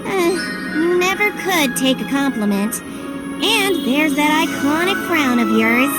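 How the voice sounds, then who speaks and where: A spirited young game heroine with a high, very lively voice. She is sisterly, teasing and earnest, delivering her lines slowly over music. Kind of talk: dramatic video game voice acting.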